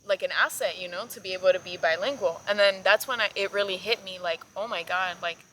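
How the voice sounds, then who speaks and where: A woman's voice: mid-range voice, a little fast, a bit bright, slight background noise, poor audio. A young adult woman from South Florida, a naturalist guest telling stories in a casual, chatty way with lots of "like.". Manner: chatty, filler-heavy, many "like"s. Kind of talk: casual conversational storytelling.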